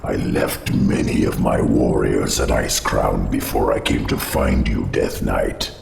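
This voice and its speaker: An actor playing an ancient undead insect king in a game, grave, loyal and formal, with a guttural rasp. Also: very deep voice, a little mellow, heavily acted. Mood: grave, measured. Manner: solemn, formal, measured. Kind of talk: video game cutscene acting. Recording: reverberant game audio over music.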